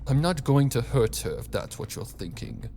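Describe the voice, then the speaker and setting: A man voicing a calm, gloating villain doctor in a story reading, with a smug, theatrical, condescending manner. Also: mid-range voice, moderate pace, a little hiss or hum. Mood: calmly menacing.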